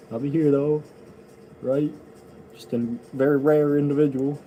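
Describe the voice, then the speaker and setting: A man chatting to his viewers in a loose, wandering way, drifting from one thought to the next. His delivery is level and unvaried, with little rise or fall. A steady wash of noise sits under his voice throughout. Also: on the high side, takes it slowly, low-quality recording. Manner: rambling, loose, offhand. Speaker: rapper. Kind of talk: casual rambling chat to viewers.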